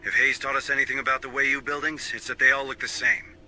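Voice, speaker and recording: An actor playing a gruff, stubborn commander of space marines in a video game, giving clipped, commanding briefings with dry sarcasm. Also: male voice, mid-range voice, little pitch movement, fast, sharp tone, rough recording. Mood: commanding, focused. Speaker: military commander. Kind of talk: video game cutscene acting and mission briefing.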